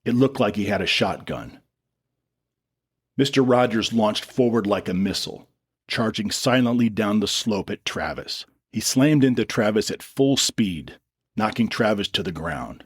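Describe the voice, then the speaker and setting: A man with a fairly low voice narrates a dramatic story. He reads from a script in the role of storyteller. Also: lively intonation, a little slow, slightly rough, no echo, clean studio sound.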